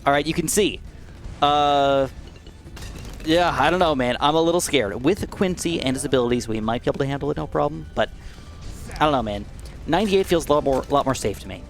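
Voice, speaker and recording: A young man giving casual, excitable gameplay commentary, his voice a bit dry and raspy, swinging from upbeat outbursts to mumbled asides. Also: on the high side, fairly quick, faint music behind. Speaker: gaming YouTuber.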